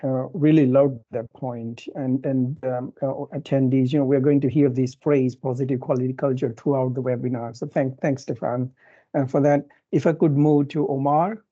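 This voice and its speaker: A man's voice: medium pitch, fairly even intonation, moderate pace, dark tone, dull audio. A man moderating a webinar panel, polite and hesitant with many fillers.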